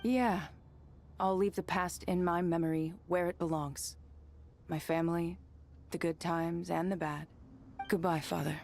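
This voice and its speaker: Low, creaky stoic young woman. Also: steady tone, measured pace, pauses often, a little mellow, slight background noise. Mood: wistful resolve. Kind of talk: video game voice acting.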